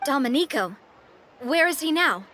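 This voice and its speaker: An actress playing a capable woman investigator in a video game, brisk, frank and businesslike. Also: high voice, expressive, pushed and bright, slight background noise. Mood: questioning.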